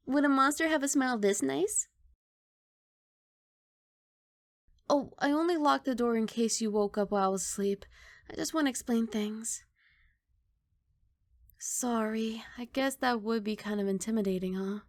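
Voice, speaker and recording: Woman doing a sweet bashful giant dragon girl for asmr, highish soft voice w a bit of crackle, close and dry. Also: normal speed, no room sound, lightly acted. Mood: reassuring, gentle. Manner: soft, coaxing, reassuring, slightly bashful. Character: kindly young giant dragon girl, gentle and eager college student. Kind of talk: ASMR roleplay.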